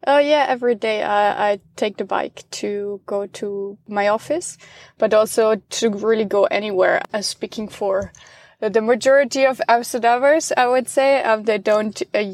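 A woman from Austria, a guest on an interview and an English student. She chats in a relaxed, friendly way and pauses often with fillers. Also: medium pitch. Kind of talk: casual interview chat.

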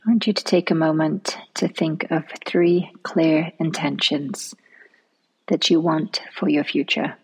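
Slow, low, breathy woman guiding meditation. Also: fairly even intonation, low-quality recording. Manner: soft, breathy, soothing delivery trailing into near-whisper. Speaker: meditation guide. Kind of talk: guided relaxation meditation.

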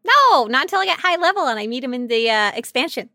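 Bright, playful woman podcaster, higher voice. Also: very animated, fast, no echo, studio quality. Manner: gushing, playful, informal. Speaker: podcast co-host. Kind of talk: casual chat about a video game.

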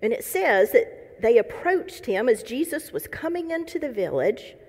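A woman's voice: a little high, sing-song, normal speed, a little mellow, breathy edge. A woman pastor giving a sermon, conversational and lightly humorous as she reads scripture and tells a story. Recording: long hall reverb.

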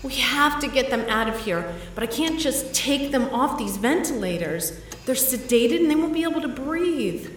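A woman's voice: sits high, lively intonation, normal speed, slight background noise. An actress playing a determined woman doctor in an audio drama, switching between narration and urgent, emotive dialogue. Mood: panicked, urgent. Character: determined, bossy woman doctor and scientist with powers. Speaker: narrator and lead actor in a fiction podcast.

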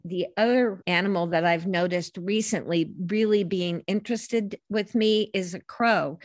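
A lower-voiced older woman talks as a podcast guest, wandering gently from story to story. She often restarts and corrects her sentences as she goes. The recording is dry and close, with no room sound. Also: no room sound. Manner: reflective, meandering, self-correcting. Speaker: podcast guest, life coach. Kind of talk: casual conversational storytelling.

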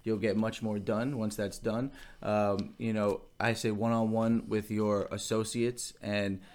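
Agreeable nasal young man, lowish voice. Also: flat delivery, unhurried, darkish tone. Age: young adult. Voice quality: a little nasal. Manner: agreeable, chimes in with "absolutely". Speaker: podcast co-host, store manager. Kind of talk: casual podcast chat.

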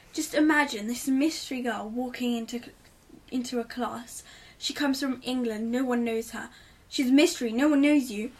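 Teen girl guest, giggly and rambling, higher voice, slightly distant pickup. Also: darkish tone. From Italy. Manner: chatty, giggly, rambling advice. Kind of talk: casual chat.

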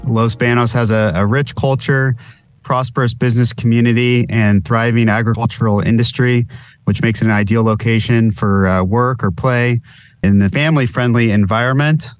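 A man's voice: mid-range voice, steady tone, normal speed, smooth, no echo, soft background music. A man hosting an interview podcast, chatting casually and rambling with lots of filler words. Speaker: podcast host.

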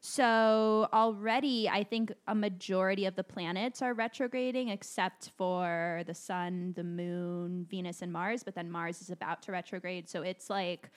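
A woman with a fairly high voice co-hosting a podcast, agreeing often and explaining things plainly. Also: fairly even intonation, normal speed, few pauses. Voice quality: higher voice than her co-host. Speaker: podcast co-host, astrology explainer. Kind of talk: casual chat.

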